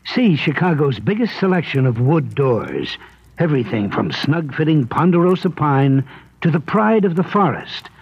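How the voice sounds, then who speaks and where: A male announcer pitches a scripted vintage radio ad like a salesman. His voice is husky, slightly high and very lively in pitch, on a dull old broadcast. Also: normal speed. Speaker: commercial announcer. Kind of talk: scripted 1970s radio advertisement.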